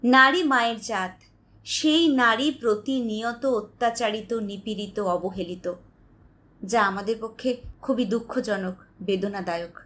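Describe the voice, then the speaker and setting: Woman as society lady orator, raised pitch, pompous, formal, declamatory, story drama. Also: a little slow, a bit bright. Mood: pompous, solemn. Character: self-important society woman giving a public speech.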